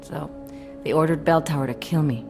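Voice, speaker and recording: A woman's voice: sits low, fairly even intonation, moderate pace, a little mellow, faint music behind. An actress playing a hardened, wary female resistance operative in a video game, wry and world-weary. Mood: grave, confiding. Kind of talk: video game cutscene acting.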